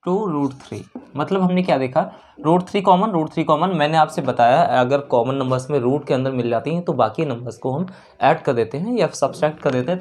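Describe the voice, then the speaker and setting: A man's voice: medium pitch, darkish tone. A man teaching maths step by step, asking questions and answering them himself, repeating key steps and mixing in English terms. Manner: mixes English terms into speech. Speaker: maths teacher for exam preparation. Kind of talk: spoken maths lesson, working through questions.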